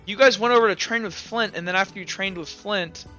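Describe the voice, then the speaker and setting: A man running a tabletop roleplaying game as game master, narrating off the cuff in a halting, conversational way. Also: high voice, sharp tone, lo-fi sound. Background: music.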